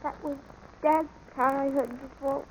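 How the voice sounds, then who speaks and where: High-voiced, feverish young boy. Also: little pitch movement, darkish tone, low-quality recording. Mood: weak, sorrowful. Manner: halting, plaintive, pleading. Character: sick, feverish young boy grieving for his filly. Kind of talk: 1940s radio drama acting. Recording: old radio broadcast with music underneath. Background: music.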